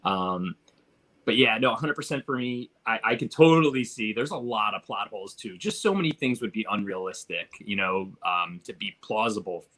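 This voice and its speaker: Lower-pitched, forceful-toned man. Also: moderate pace. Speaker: panelist on a film review show. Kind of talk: casual film discussion.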